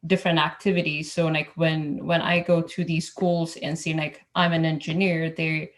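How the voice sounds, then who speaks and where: Woman from Ethiopia, engineer on a panel, halting speech, low even voice. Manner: hesitant, many fillers and restarts. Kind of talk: casual roundtable talk.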